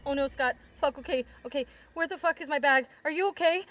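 Higher-pitched, determined woman hiker in peril. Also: brisk, fairly bright tone. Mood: worried, frantic. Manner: urgent, foul-mouthed, reassuring under pressure. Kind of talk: full-cast audio drama acting.

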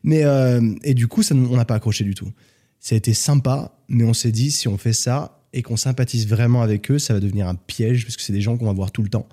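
A man from France, a podcast guest, telling stories in a relaxed, casual chat. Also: mid-range voice, fairly quick. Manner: relaxed storytelling, animated when joking.

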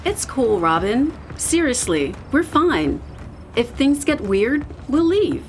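A woman's voice: high voice, big pitch swings, takes it slowly, a little hiss or hum, full character voice. An actress playing a young woman, one of a group of friends in a horror audio drama. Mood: reassuring. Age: young adult.

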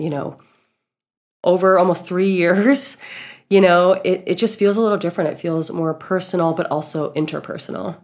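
Woman podcast host, lowish gravelly voice, bit stuffed up from being sick, chatting casually. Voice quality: slightly nasal. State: recovering from covid, with a cough. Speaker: podcast co-host, healing coach and speaker.

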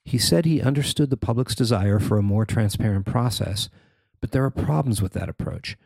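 A man's voice: fairly low pitch, brisk, vocal fry, darkish tone, husky, no room sound. A man reading a scripted news report as its reporter and narrator.

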